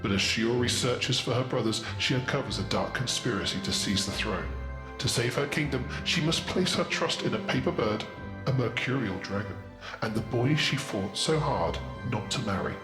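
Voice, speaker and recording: A man's voice: mid-range voice, animated, moderate pace, pitched below the performer's own voice, close to an everyday voice. Man putting on a portentous, echoing fantasy narrator. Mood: grave, dramatic. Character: grave, dramatic storyteller announcing a fantasy book blurb. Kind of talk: theatrical scripted blurb narration. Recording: long reverb, faint music behind.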